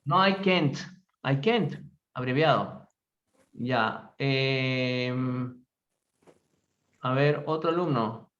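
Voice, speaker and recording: Brisk male English teacher, lo-fi call. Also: medium pitch, a bit bright. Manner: brisk, quizzing students in Spanish. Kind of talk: online class, calling on students.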